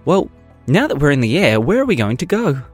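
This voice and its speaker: A man's voice: on the high side, big pitch swings, a little fast, faint music behind. A man voicing an eager, take-charge young girl in a children's adventure story. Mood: curious, eager.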